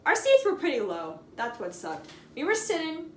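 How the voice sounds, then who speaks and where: A young woman with a high voice tells a casual vlog story in an echoey room with some background noise. Her delivery is expressive and slangy, leaning on dramatic emphasis and repeated phrases. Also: moderate pace. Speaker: storytime video host.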